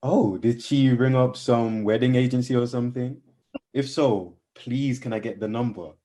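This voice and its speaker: A man's voice: medium pitch, fairly even intonation, normal speed, mellow, natural voice. A man playing a kindly, polite wedding guest who is easily embarrassed, in naturalistic radio play dialogue with a hesitant, conversational manner. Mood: impressed, curious.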